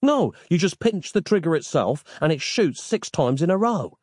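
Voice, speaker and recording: A man's voice: a little high, very animated, normal speed, darkish tone, no echo, studio quality, voice pushed up. A man voicing an exasperated, sarcastic modern man who complains incredulously in an audio story. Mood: placating.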